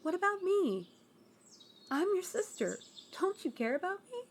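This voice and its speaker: A woman's voice: very high-pitched, big pitch swings, slight background noise, voice pushed up, full character voice. A woman acting a fiery, aggressive young sister in a fantasy audio roleplay, pushy, sarcastic and resentful. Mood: hurt. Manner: pushy, sarcastic, quick to complain.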